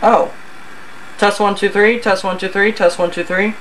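A person thinking aloud in a halting mutter during a casual hands-on electronics demonstration at an indoor workbench. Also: medium pitch, fairly even intonation, fairly bright tone. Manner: halting, muttering to self while working. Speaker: hobbyist host of an electronics repair video. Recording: noisy camcorder audio with room ambience.

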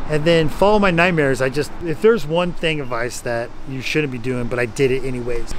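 A man's voice: a little high, a little hiss or hum. A man in his thirties, a stand-up comedian, talking candidly to a handheld camera outdoors at night. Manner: candid, self-deprecating, chatty. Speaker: stand-up comedian and vlog host. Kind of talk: casual vlog talk to camera. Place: outdoors at night on a city street. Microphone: camera held at arm's length.

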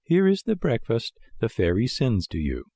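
Man playing a placid, unhurried lady snail. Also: mid-range voice, slightly rough, no echo, clean studio sound, heavily acted. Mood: blandly amused. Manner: calm, peaceful, drawn-out. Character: calm, unhurried female snail, placidly unhelpful. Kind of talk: storybook character voice.

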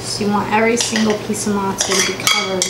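A woman's voice: mid-range voice, flat delivery, fast. A teenage girl casually walking through a recipe step by step in her home kitchen, with plenty of fillers. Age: teens. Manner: chatty, explaining step by step, lots of fillers. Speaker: vlogger cooking at home. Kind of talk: casual cooking demo. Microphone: phone camera, handheld at times. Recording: echoey kitchen, loud background noise.

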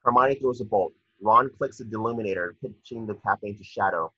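A man's voice: fairly low pitch, fairly quick, bright, forceful tone, slightly rough, dry recording. A young man narrating scripted stage directions from a screenplay over a video call. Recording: dull, muffled video call audio.

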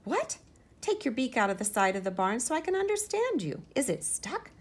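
Storybook mouse: a woman voicing a tiny helpful girl mouse, high and eager, lively lilt. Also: normal speed, breathy edge, heavily acted. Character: small eager young female mouse, helpful.

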